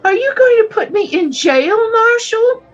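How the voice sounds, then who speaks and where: A woman's voice: very high-pitched, animated, a little slow, dull audio, a faint crowd. An actress playing a naive, polite young shopgirl in her twenties, earnest and plaintive, in a radio western. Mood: anxious, indignant. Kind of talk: old-time radio western acting.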